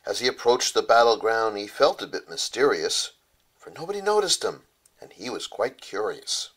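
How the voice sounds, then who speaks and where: A man reads a children's storybook as its author. His voice sits on the low side. The delivery is rhymed bedtime storytelling. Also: sing-song, moderate pace, a bit bright, breathy edge. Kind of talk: rhyming bedtime storybook narration.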